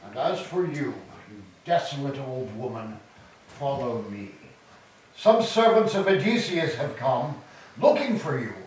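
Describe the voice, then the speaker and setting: A man's voice: medium pitch, big pitch swings, measured pace, a little mellow. A man playing a stern, commanding army herald in a staged Greek tragedy, declaiming his orders. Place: church, live staged reading. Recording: echoey hall, distant mic, background noise.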